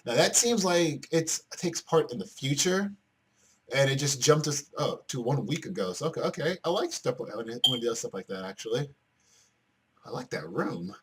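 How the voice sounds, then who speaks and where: Animated young male gamer, lively pitch. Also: moderate pace, background noise. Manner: expressive, slangy. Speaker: gaming video host. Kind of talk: casual let's play, reading game text aloud. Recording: loud guitar game music behind. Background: game music.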